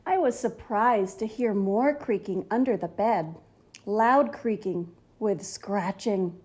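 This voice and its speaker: A woman reading a children's story aloud in an enthusiastic, theatrical, expressive manner. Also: medium pitch, slow. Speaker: storybook reader, channel host.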